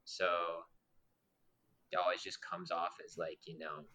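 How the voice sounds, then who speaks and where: Talkative young guy with a low voice and a sharp bright edge, loud and sweary, rambles on. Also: flat delivery, measured pace. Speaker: podcast co-host. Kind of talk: casual chat.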